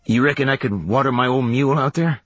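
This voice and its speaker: A man's voice: mid-range voice, fast, no echo, muffled recording, voice pushed up, natural voice. A man voicing a laconic, plain-spoken young wanderer in an audiobook, with folksy speech. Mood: asking. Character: plain-spoken rustic youth, a wandering male kid. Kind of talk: audiobook character voice.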